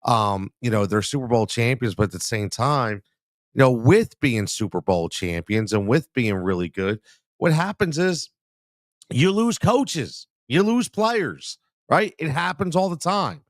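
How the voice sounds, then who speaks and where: A man's voice: medium pitch, lively intonation, unhurried, dry recording, studio quality. A man with a cold hosting a live sports talk show, rambling and repeating himself, calling listeners man. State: congested from a cold.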